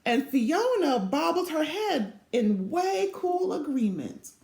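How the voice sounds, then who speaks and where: Animated woman reading a children's story. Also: sits high, creaky, roomy sound. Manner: animated, deliberate. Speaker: storybook reader. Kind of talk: expressive children's story narration.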